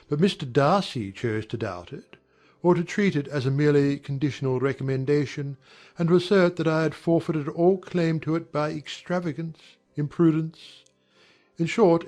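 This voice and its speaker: An actor playing an aggrieved young gentleman in a dramatized audiobook, speaking in formal, measured, earnest period language. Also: a little high. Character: young gentleman airing polite, wounded grievances. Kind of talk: dramatized audiobook character acting.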